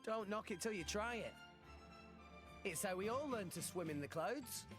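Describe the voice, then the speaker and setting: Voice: medium pitch, lively intonation, normal speed, music in the background, lightly acted. An actor playing a cheerful, optimistic teenage boy salvager in a video game, upbeat and eager. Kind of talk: video game cutscene acting.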